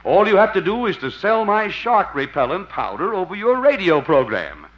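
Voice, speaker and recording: Flattering male con man, 1950s radio comedy: folksy, ingratiating, hugely animated delivery, muffled old recording. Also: high voice, normal speed.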